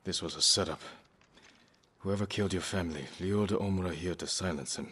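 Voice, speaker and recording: Game role: young samurai man voiced by an actor, very low voice, earnest, measured, noisy background. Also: close to an everyday voice. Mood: grave, deducing. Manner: measured, restrained, serious. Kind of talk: dramatic video game acting.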